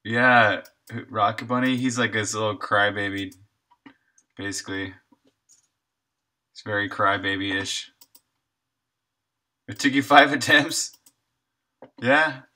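A man's voice: fairly low pitch, takes it slowly, long pauses, bright, forceful tone. An American man in his thirties chatting casually and in a laid-back, slangy way while live-streaming his game development work. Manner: laid-back slangy talk, chuckles easily.

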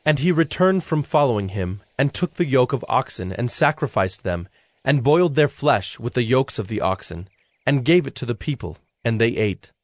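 A man narrating an audio Bible as a scripted, measured storyteller. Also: medium pitch, expressive, dry recording. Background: music.

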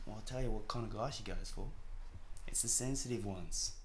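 An actor playing a cocky, foul-mouthed young Australian man in a small suburban flat. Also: fairly low pitch, fairly quick, a little hiss or hum, natural voice. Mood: scheming. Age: young adult. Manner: crude, cocky, swears constantly. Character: brash foul-mouthed young Aussie hustler. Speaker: actor playing Jono, a crude young son.

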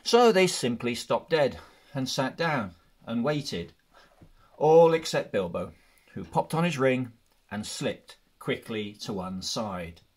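A man reads a story aloud as an audiobook narrator. He takes his time, with a very unhurried pace and deliberate phrasing, at a medium pitch. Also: animated. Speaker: audiobook reader.

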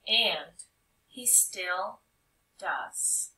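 A woman reading a children's picture book aloud in an expressive, animated way with emphatic phrasing. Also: mid-range voice, drawn-out words, very noisy. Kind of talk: expressive storybook read-aloud narration. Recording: roomy echo, distant mic.

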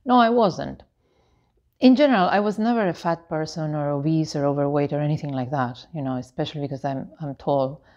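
A woman of about fifty chatting casually to camera as a lifestyle vlogger, in a halting, meandering, explanatory way. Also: deep voice, darkish tone, muffled recording. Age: 49, about to turn 50. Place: living room with tiled floor, indoors. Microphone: clip-on lapel microphone.

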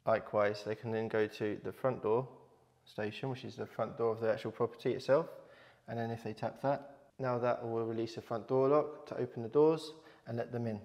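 A man's voice: medium pitch, steady tone, a little fast, a little mellow. A man in his thirties explaining an installation in a matter-of-fact, instructional way, like a tradesman presenting a walkthrough. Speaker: smart home installer presenting his company's work.

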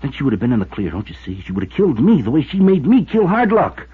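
Raspy, frantic cornered killer. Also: male voice, medium pitch, very animated, fast. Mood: bitter, frantic. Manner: pleading, agitated, ranting. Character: unhinged, lovestruck killer, a man cornered and desperate. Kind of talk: 1950s radio drama acting. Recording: muffled tinny 1950s radio recording.

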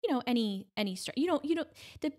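A middle-aged woman speaking conversationally as an interview guest, telling her story in an animated way and often voicing quoted remarks. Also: fairly high pitch, fast. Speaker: podcast guest, breast cancer advocate.

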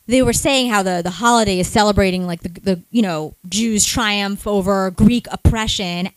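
A woman's voice: on the high side, no echo, low-quality recording. A woman co-hosting a podcast, earnestly recapping a book with reflective, hedging phrases. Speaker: podcast co-host. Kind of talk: live podcast book discussion.

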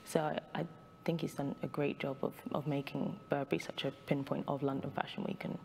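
A woman's voice: sits low, little pitch movement, fairly quick, creaky, a little mellow, slight background noise. A woman on a studio panel, speaking casually with lots of fillers. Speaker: panel guest. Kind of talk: panel discussion.

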